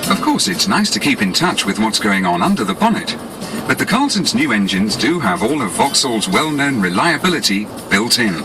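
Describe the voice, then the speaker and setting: British male promo narrator, lively intonation over music. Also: fairly low pitch, normal speed. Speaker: advertising narrator. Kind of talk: scripted 1980s promotional narration. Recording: 1980s cassette tape with music behind.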